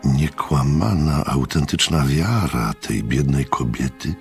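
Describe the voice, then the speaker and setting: An actor playing a grave, thoughtful narrator in a radio drama, telling his story in a measured, gravelly voice. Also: extremely low pitch, soft background music, exaggerated voice. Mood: grave, pensive. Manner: measured, deliberate. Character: grave, thoughtful male narrator recounting strange events.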